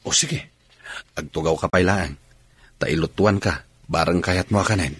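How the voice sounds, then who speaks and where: Husky low-voiced Ilocano husband. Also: male voice, normal speed, fairly bright tone, no echo. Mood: patient, caring. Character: tired, patient, doting rural husband. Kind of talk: Ilocano comedy radio drama acting.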